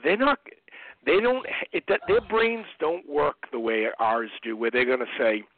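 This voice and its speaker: Middle-aged American male guest, conversational storyteller, some fry in the voice, dry but thin phone-band audio. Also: on the high side, measured pace, no room sound. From United States. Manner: conversational, anecdotal. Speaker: guest, parent and speaker. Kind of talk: casual interview storytelling. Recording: narrow muffled phone-line radio sound.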